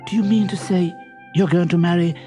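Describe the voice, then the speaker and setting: A man's voice: sits high, fairly even intonation, brisk, dark tone, soft background music, pitched above the performer's own voice, close to an everyday voice. A man voicing a kind-hearted, humble young servant lad in love, with an earnest, eager manner, in a storybook.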